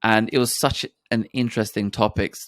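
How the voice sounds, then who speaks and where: A man hosts a podcast interview and introduces the guest's book. His voice is fairly low and the sound is dry. He talks casually and hesitantly, restarting phrases. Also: fairly even intonation, fairly quick, dry recording. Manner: hesitant, many fillers and restarts. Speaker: podcast host.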